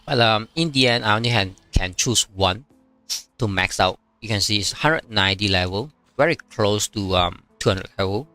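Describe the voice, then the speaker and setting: Chinese-native man, bright, dry close mic. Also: lowish voice, dry recording, faint music behind. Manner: halting, many fillers, reads chat aloud. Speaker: game streamer. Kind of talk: casual live-stream commentary.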